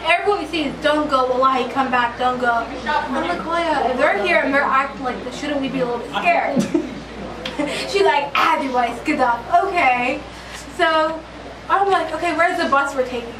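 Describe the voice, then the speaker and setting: A young woman telling a story casually, in an animated, slangy way, acting out quotes. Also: sits high, normal speed, a crowd in the background. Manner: animated, slangy, dramatic retelling with acted quotes. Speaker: interviewee. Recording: echoey, distant, noisy street recording.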